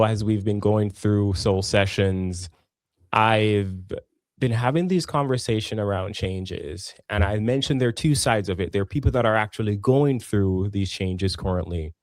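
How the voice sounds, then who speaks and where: A man hosts an interview over a video call, his voice sitting on the low side. He asks open, curious questions, and the sound is dry and close with no room around it. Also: moderate pace, no room sound. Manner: warm welcoming, curious questioner. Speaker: podcast host. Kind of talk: casual interview hosting.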